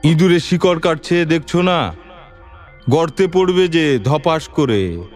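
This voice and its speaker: A man's voice: on the high side, animated, takes it slowly, a little mellow, faint music behind. A man voicing an irritable ascetic sage from legend, scolding in a theatrical audio story. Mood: alarmed.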